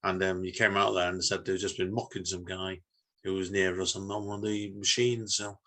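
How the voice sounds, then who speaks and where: A man hosting a podcast, reading his own fiction and chatting casually in a halting, informal way. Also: deep voice, little pitch movement, sharp tone. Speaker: podcast host. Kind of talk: reading own short story, casual chat.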